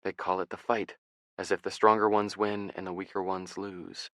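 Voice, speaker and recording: Man reading as a mom's farewell letter, soft and low, deep, dry close sound. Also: flat delivery, normal speed, no room sound. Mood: somber. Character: dying mother writing her final letter.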